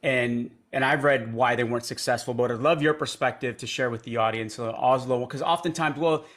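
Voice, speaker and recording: A man's voice: medium pitch, fairly quick, fairly bright tone. A man hosting an interview, asking long, hesitant questions full of restarts. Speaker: interview host. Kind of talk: conversational interview.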